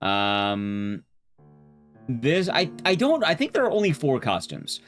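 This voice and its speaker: A man's voice: medium pitch, huge pitch swings, slow pace, fairly bright tone, faint music behind. A man livestreaming a game and chatting casually with viewers, rambling and restarting his sentences as he goes. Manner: rambling, often restarting sentences mid-thought.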